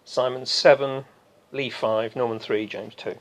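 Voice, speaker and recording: A man's voice: medium pitch, steady tone, a little slow. A man acting as scorekeeper at a live quiz, briefly announcing contestants' names and scores. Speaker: quiz scorekeeper and buzzer caller.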